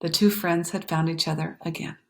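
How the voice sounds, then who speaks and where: A woman reading a children's picture book aloud in an expressive, animated way, pausing for emphasis and talking to young listeners. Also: sits low. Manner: animated, explanatory, pausing for emphasis and dropping to hushed phrases. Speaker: storytime reader.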